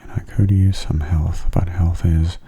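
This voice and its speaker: Soft, breathy low-voiced man, very mellow. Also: fairly even intonation. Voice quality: soft, breathy, often half-whispered. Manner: gentle, unhurried, low-key. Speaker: gamer giving gameplay commentary. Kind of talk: soft-spoken ASMR gameplay commentary.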